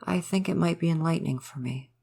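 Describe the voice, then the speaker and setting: Guy doing a shy gentle male forest spirit for asmr roleplay, formal and careful, earnest, a bit hesitant, curious about everything. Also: fairly high pitch, fast, vocal fry, slightly rough. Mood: earnest, uneasy. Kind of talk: intimate ASMR roleplay acting.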